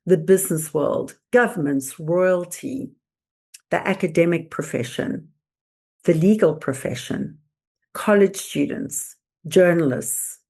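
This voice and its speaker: A woman presenter delivering scripted motivational narration in a deliberate, emphatic, oratorical manner. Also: deep voice, gravelly, darkish tone. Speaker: host and presenter.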